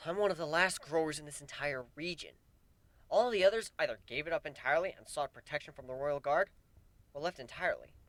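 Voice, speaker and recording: A man's voice: a little high, brisk, dry recording, voice pushed up, natural voice. A man voicing a weary, wry male pegasus who grows plants, in a dramatic fan fiction reading. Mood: resigned.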